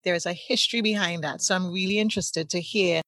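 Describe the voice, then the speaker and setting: Low-voiced encouraging woman host. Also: fairly even intonation, fast talker, fairly bright tone, no room sound. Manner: encouraging, with frequent short affirmations. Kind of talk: interviewing.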